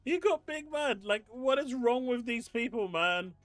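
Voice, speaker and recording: High-voiced Englishman ranting at chat. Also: expressive, a little slow, fairly bright tone, no echo, faint music behind. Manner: exasperated, ranting, incredulous. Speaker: streamer host reading live chat. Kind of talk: casual live-stream chat. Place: home desk setup.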